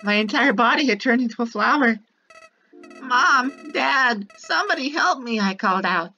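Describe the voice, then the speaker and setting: A small talking flower villain from a game, high-pitched and very animated. The delivery is theatrical and mocking, sliding between cutesy sweetness and cruel menace in drawn-out, slow phrases. The recording is dull and lo-fi. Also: female voice, faint music behind. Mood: sorrowful. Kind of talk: dramatic villain monologue from a game.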